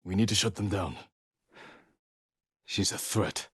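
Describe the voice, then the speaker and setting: A husky, fairly low male voice as a stoic samurai in a dramatic video game. He speaks in terse, measured phrases with grave determination. The sound is close and dry. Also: fairly bright tone, no room sound, heavily acted. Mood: grave, focused. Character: stoic, determined samurai warrior, adult man.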